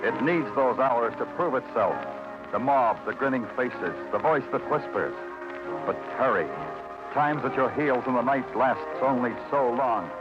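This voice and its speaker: An actor playing a world-weary big-city police detective, narrating in a terse, brooding 1950s radio noir style. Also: male voice, mid-range voice, measured pace, close to an everyday voice. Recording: dull vintage radio recording, music underneath.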